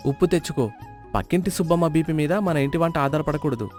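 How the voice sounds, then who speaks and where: A penny-pinching middle-aged villager, whiny and fussy over every rupee, his voice sitting a little high, as a man acts him out. He sounds like a comic character from a storybook, with soft music playing underneath. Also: fast talker, natural voice. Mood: rueful. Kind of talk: storybook voice acting.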